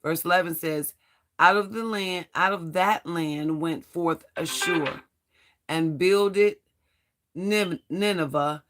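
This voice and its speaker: A Bible study host with a hoarse, strained voice, reading scripture aloud and adding earnest spiritual commentary, stumbling now and then over hard names. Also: medium pitch, slow, a bit bright. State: hoarse, losing voice.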